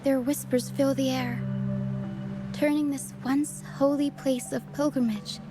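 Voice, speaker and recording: A gentle young girl from a video game, speaks in a high voice over prominent music. She is soft-spoken, earnest and quietly brave, with a playful streak. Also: little pitch movement, a little slow. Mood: calm, friendly. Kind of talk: video game cutscene voice acting.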